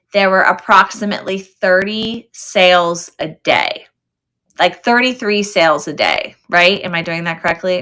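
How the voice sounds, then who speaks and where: A woman's voice: mid-range voice, pushed and bright. A woman hosting a casual live sale stream, chatting with viewers and thinking aloud as she goes. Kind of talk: casual livestream chat with viewers.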